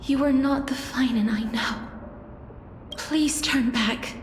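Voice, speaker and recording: An actress playing a gentle, sorrowful demigod of death in a game, speaking softly and formally. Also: female voice, sits high, fairly even intonation, slow pace, a little hiss or hum. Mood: pleading sorrow. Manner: soft, formal, mournful. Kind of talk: dramatic game voice acting. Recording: echoing voice over music.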